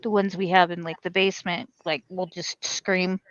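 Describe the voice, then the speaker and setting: A woman and military veteran co-hosting a casual chat, animated, laughing a lot and swearing offhand. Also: lowish voice, moderate pace, dry recording. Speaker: podcast co-host, veteran. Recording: dry, dull lo-fi mic.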